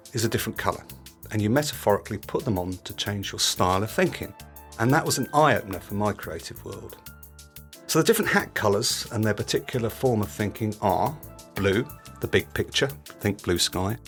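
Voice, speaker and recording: Middle aged british guy hosting a podcast, lowish voice, instructive short phrases, faint music under him. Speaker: podcast host. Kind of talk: scripted podcast narration.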